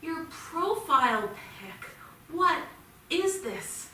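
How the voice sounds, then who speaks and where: A woman's voice: very high-pitched, very animated, extremely slow, bright, forceful tone, heavily acted. An actress playing a stern, worried mother of a teenage son in a staged play reading. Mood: alarmed, exasperated. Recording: echoey theatre, distant mic, clear noise.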